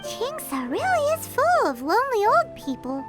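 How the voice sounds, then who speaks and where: Squeaky tiny flying girl. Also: wildly swooping pitch, moderate pace, faint music behind, exaggerated voice. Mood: cheeky, sheepish. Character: tiny childlike flying girl companion, cheeky. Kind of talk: video game voice acting.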